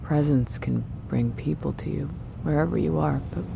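A woman's voice: low voice, fairly even intonation, normal speed, vocal fry, background noise. An actress playing an intense, demanding woman who runs a tech company, in a naturalistic audio drama. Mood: musing, visionary. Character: driven, imperious woman running a tech company.

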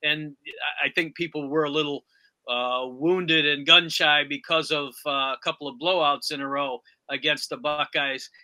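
A man's voice: a little high, steady tone, moderate pace, sharp tone. A man hosting a sports talk interview, putting questions and comments to his guest. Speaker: sports podcast interviewer, host.

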